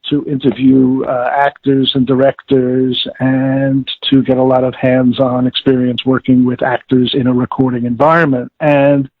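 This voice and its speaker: An older American man recalling his career in an interview, speaking articulately and formally but haltingly as he searches for words. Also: mid-range voice, little pitch movement, dry recording. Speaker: interviewee, former audiobook director and producer. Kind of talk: interview recollection. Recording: thin band-limited phone-like call audio.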